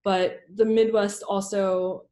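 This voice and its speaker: Young american woman artist, loose chatty talk, laptop mic at home, mid pitch. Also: little pitch movement, slow pace, dark tone. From United States. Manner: loose and chatty, many ums and likes. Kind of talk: casual panel discussion. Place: home room, video call. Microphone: laptop mic on a video call.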